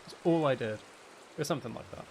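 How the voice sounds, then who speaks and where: A man's voice: mid-range voice, fairly quick, creaky, a little mellow, a little hiss or hum. A man chatting casually on a live game stream in a rambling, self-deprecating way. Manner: mildly sweary. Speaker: game streamer and audio creator.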